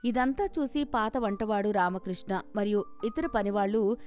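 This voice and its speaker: A woman's voice: mid-range voice, moderate pace, darkish tone, faint music behind. A woman storyteller reading scripted narration for a moral story.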